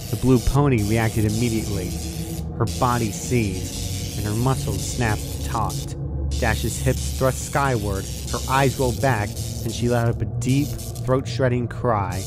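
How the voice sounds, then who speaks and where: Man narrating a story over music. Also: medium pitch. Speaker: fan fiction reader.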